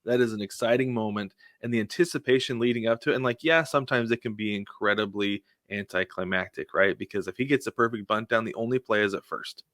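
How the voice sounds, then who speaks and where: A man's voice: on the low side, fast, few pauses, a bit bright, no echo, studio quality. A man co-hosting a sports podcast, telling casual stories and acting out what people said. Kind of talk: casual baseball chat with anecdotes.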